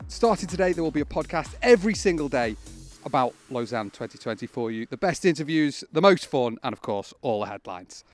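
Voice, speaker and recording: A man presenting a scripted sports podcast in a brisk, upbeat way, over a music bed. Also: mid-range voice, sing-song. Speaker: podcast host.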